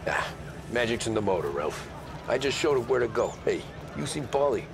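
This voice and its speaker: An actor playing an easygoing, modest young mob driver with casual, understated talk in a crime game. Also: male voice, medium pitch, big pitch swings, brisk, gravelly, background noise. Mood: modest, relaxed. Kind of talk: cinematic crime game acting. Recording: music behind the voice.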